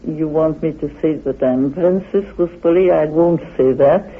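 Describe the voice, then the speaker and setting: A woman's voice: low-pitched, normal speed, husky. A woman researcher speaking off the cuff in an interview. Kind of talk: unscripted interview talk. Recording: tinny muffled old interview tape.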